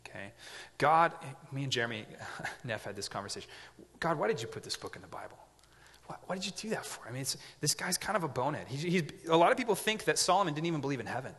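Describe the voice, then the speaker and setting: A man's voice: fairly high pitch, sing-song, fast talker, fairly bright tone, breathy edge. Young man preaching in a reverberant hall. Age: 20s. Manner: conversational, joking asides, frequent "okay?" check-ins. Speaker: pastor. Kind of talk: sermon.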